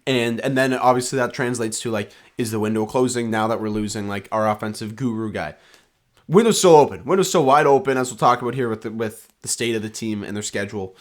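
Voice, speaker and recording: A man's voice: medium pitch, fairly quick. Joking young man in casual sports chat. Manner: loose, joking, rambling with restarts. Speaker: podcast co-host.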